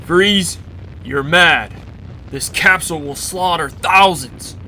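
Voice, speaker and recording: A man's voice: slow, sharp tone, heavily acted. A man playing a stern, righteous male superhero in a campy audio drama, speaking in an earnest, commanding way. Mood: outraged. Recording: noisy, rumbling engine and explosions behind.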